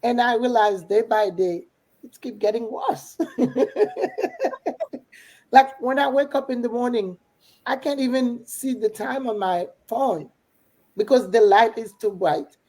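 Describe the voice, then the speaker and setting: A woman's voice: on the high side, a little slow, long pauses, dark tone. A middle-aged woman from Haiti with a Haitian accent, a coach chatting casually and encouragingly. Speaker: business coach and mentor. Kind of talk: casual motivational chat.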